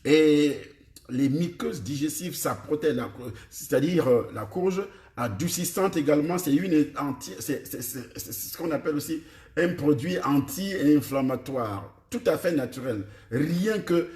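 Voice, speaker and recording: A man's voice: on the high side, expressive, unhurried, a little mellow. A man with a Cameroonian French accent whose first language is Ewondo, a preacher teaching his congregation about food and health, repeating himself and questioning his listeners directly. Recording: echoey room.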